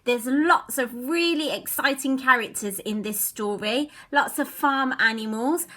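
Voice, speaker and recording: A woman's voice: high-pitched, a little slow, sharp tone. A woman reading a story to young children, speaking expressively and addressing them directly as a storytime reader.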